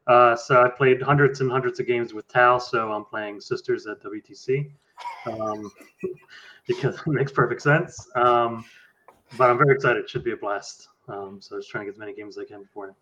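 A man's voice: medium pitch, steady tone, moderate pace, bright, forceful tone, a little hiss or hum. A young American man chatting casually as a podcast guest, on slightly dull-sounding audio. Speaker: podcast guest, tournament player. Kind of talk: casual chat.

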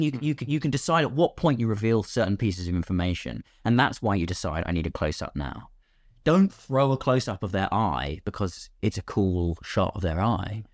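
A man's voice: fairly low pitch, no echo, clean studio sound. A British man talking casually as a podcast guest, explaining his craft and acting out imagined questions as he goes. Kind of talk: casual conversational explanation of filmmaking.

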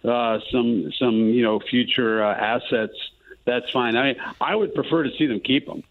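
A male sports columnist calling in, talking basketball in a halting way with lots of fillers. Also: mid-range voice, creaky. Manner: halting, many fillers and restarts. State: losing his voice. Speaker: columnist guest. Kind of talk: casual sports talk. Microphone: phone line. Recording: tinny phone line.

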